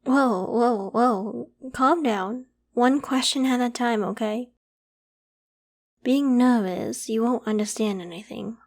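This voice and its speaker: A woman voicing a polite, protective young female werewolf with a secret, in a gentle, reassuring ASMR roleplay. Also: a little high, takes it slowly. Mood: soothing. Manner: gentle, reassuring, hesitant when evasive. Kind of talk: ASMR roleplay, acted one-sided conversation.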